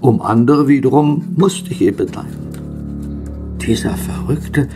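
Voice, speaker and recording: Gravelly, learned gentleman. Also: medium pitch, expressive, music in the background. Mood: grave narration. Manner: measured, formal, old-fashioned diction. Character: learned, curious, wary gentleman visitor recounting events. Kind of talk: German horror radio drama acting and narration.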